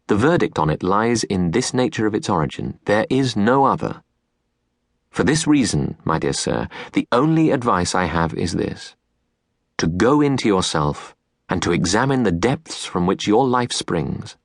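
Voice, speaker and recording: A man narrating a literary audiobook of letters in a measured, earnest manner with deliberate phrasing, recorded in a studio. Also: lowish voice, very animated, no echo. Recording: dry, close studio recording.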